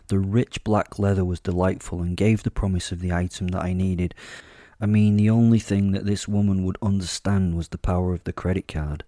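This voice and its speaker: A man playing a suave, vain werewolf killer who narrates a horror audio drama in a measured, smug, darkly humorous way. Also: deep voice, dark tone, dry recording, studio quality, lightly acted.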